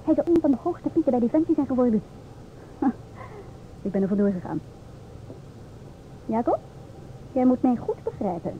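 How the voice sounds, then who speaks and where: An actress playing an outspoken, sharp-tongued woman in a Dutch radio play, with an assertive, mocking, theatrical delivery. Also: a little high, very animated, moderate pace, pauses often. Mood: indignant. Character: outspoken, sharp-tongued divorced woman lecturing two inspectors. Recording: old radio, thin phone-like sound, noisy.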